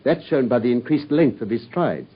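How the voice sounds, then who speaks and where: Self-assured Victorian detective lecturing, husky tone, lively pitch, dull old radio sound. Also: male voice, moderate pace. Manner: crisp, confident, lecturing. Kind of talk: radio drama.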